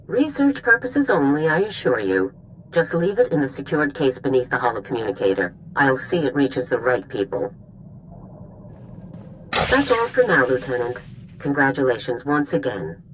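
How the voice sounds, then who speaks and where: A woman playing a stern female military commander giving brisk orders over a holo link in a video game. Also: extremely low pitch, big pitch swings, a little hiss or hum, rough recording. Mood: commanding. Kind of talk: video game cutscene acting.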